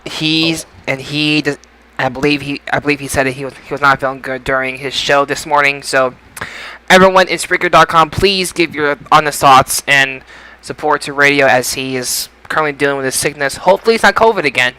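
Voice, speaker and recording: A man's voice: fairly high pitch, fairly quick, pushed and bright, clean tone, poor audio. A man hosting a casual podcast, announcing like a showman and stumbling over his words at times. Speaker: podcast host. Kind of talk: casual podcast show intro and chat.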